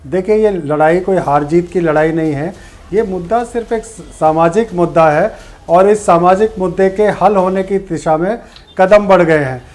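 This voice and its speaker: A man's voice: high voice, normal speed, darkish tone. Firm, emphatic male party spokesperson. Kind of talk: political debate argument.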